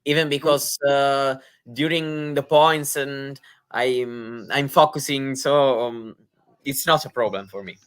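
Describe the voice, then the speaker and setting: Slow, halting man analysing tennis. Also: medium pitch, steady tone, a bit bright, clean tone. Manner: hesitant, many fillers and restarts. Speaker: tennis co-commentator.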